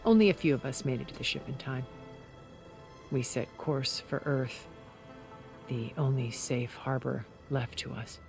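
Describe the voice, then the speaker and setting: An actress playing a poised, calculating middle-aged woman in a video game, speaking in a measured, articulate, self-assured way. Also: rumbling low, over music. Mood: grave, resolute. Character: poised, calculating woman, ageless schemer from space. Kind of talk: video game cutscene acting.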